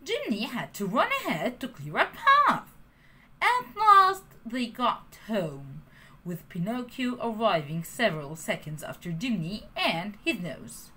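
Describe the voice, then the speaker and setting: A woman reading a children's story aloud in an expressive, lilting way. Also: medium pitch, takes it slowly, breathy edge.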